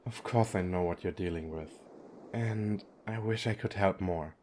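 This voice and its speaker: A man acts out a gentle, weary young femboy sea god in an ASMR roleplay. He talks slowly in a low-pitched, soft voice, hesitant and kind, with a playful streak. The delivery is intimate, with many pauses. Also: slight background noise. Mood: soft, sincere. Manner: soft, hesitant, with frequent pauses and small chuckles.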